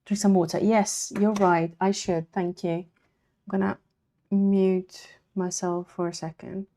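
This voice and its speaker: A woman with an Italian accent, streaming as she draws, chatting quietly in murmured asides, her voice slightly croaky today. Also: mid-range voice, level tone, unhurried. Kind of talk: casual live-stream chat while drawing.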